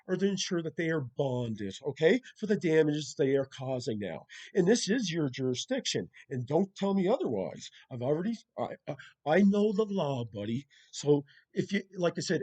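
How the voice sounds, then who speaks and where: A man's voice: a little high, dark tone. A man arguing as a caller in an insistent, repetitive and combative manner, full of legal jargon. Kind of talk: confrontational phone argument with legal jargon.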